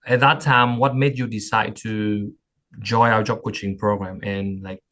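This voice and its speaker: A man's voice: on the low side, little pitch movement, unhurried, fairly bright tone. A man hosting an interview podcast as a job coach, introducing his guest and asking questions. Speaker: host, job coach and company founder.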